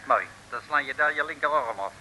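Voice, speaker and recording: A man's voice: medium pitch, brisk, bright, forceful tone, rough recording. An actor playing a matter-of-fact, officious Dutch policeman in an old comic radio serial. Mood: officious, dry. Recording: old radio recording with faint noise.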